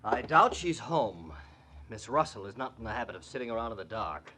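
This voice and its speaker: An actor playing an idle, jaded society drinker in a 1940s radio drama, affected, languid and dryly witty. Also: male voice, fairly low pitch, big pitch swings, a little fast, background noise. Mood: haughty, dry. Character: idle, jaded, martini-drinking society gentleman, lovelorn hanger-on.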